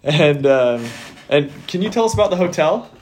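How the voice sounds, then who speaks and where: A man's voice: on the high side, lively intonation, moderate pace, darkish tone. A young man hosting a podcast, upbeat and enthusiastic, with casual slang. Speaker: podcast host. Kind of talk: podcast interview.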